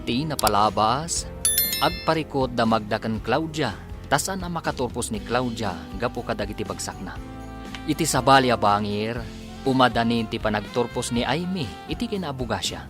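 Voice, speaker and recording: A man's voice: mid-range voice, animated, normal speed. A man with an Ilocano accent, narrating and announcing a radio drama in a scripted, dramatic style. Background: music.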